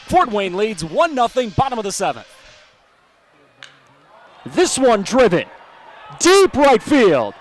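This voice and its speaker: An American man calling baseball play-by-play as a broadcaster, animated and given to shouting on big plays. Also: high voice, slow, pauses often. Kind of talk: live sports play-by-play commentary.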